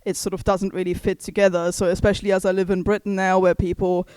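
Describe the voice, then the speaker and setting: Measured woman historian, smooth voice. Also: mid-range voice, flat delivery, darkish tone, dry recording. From East Germany. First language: German. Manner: thoughtful, hedging with many fillers and restarts. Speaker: historian and author. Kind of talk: book launch talk.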